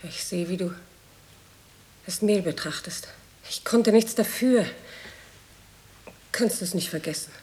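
An anxious wife played by a woman in a radio play, with a medium-pitched voice. She speaks hesitantly and apologetically, in an intimate, pleading way. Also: unhurried, long pauses, a little mellow, lightly acted. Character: nervous, apologetic, worried wife. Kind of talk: intimate dramatic dialogue.

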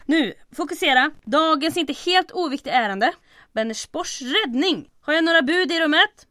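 An actress playing a bossy, sardonic resistance leader in a comic radio drama, giving brisk, impatient orders. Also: female voice, high-pitched, expressive, pushed and bright, no room sound. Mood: impatient, commanding. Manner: bossy, dry, crisp orders.

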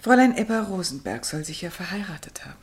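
A low, creaky, sorrowful countess. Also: female voice, expressive, fast. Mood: restrained, bitter. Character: reserved, principled, sorrowful estranged countess. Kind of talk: German literary radio play acting.

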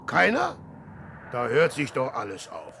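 A man's voice: medium pitch, wildly swooping pitch, a little slow, creaky, sharp tone, slight background noise, heavily acted. A man voicing a proud, fearful traveler at sea who blusters and breaks into frantic outbursts, in a classic radio drama.

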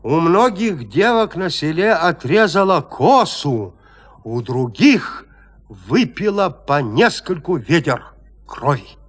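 A male narrator reads literary prose for an audio drama. His voice sits a little high and moves in lively, wide melodic swings, yet the delivery stays measured and theatrical. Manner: expressive, measured storytelling.

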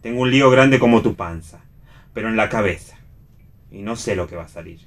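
An actor playing a wry, troubled friend in read radio theatre, with a dry, ironic delivery. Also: male voice, on the low side, a little fast, close to an everyday voice.

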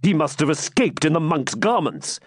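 A proud knight speaks with cool disdain, as a male narrator puts him on. His pitch moves freely. The voice is dry and close to the mic. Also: big pitch swings, moderate pace, breathy edge, dry recording. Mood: scornful.

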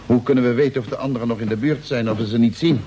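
An actor playing a sober, pessimistic spaceship crewman, measured and gloomy in vintage radio drama style. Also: mid-range voice, mellow, slight background noise, natural voice.

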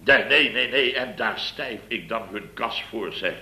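An actor playing a blustering middle-aged contractor boss who rambles through an exasperated tale, in 1960s radio comedy acting. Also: male voice, mid-range voice, measured pace, pushed and bright, roomy sound. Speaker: construction firm boss.

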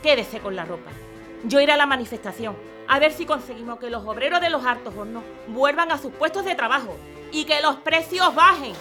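A woman's voice: sits high, lively intonation, a little fast, sharp tone, soft background music. An actress playing a proud, poor young mother in an audio drama, speaking with earnest dignity. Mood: proud indignation.

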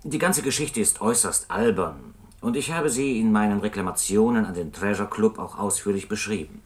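A man's voice: on the low side, animated, normal speed, close to an everyday voice. A man playing a polite, wronged customer earnestly dictating a complaint letter in a German radio play. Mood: relieved, earnest.

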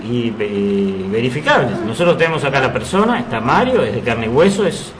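A man's voice: mid-range voice, very animated, fairly quick, slight background noise, low-quality recording. A man co-hosting a live radio comedy show, chiming in and agreeing with the others.

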